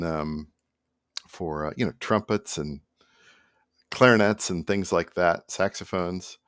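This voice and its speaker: A middle-aged man with a low voice chats through a behind-the-scenes music vlog. His delivery is loose and rambling, easygoing throughout. He is recorded indoors with a dry, close sound. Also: no room sound. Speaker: musician presenting own project. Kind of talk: casual behind-the-scenes vlog talk to camera. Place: small indoor home room at a computer.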